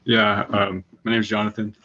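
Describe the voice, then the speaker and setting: A man's voice: fairly low pitch, completely flat delivery, moderate pace, sharp tone. A young man from California, a student, giving a casual self-introduction on a video call. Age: teenager or very young adult. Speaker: high school student. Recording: dull video call audio.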